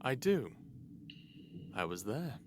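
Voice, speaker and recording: A man playing a calm, easygoing, quietly confident hero in an audio drama, with an informal, unhurried manner. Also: mid-range voice. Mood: quietly defiant. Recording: noisy background.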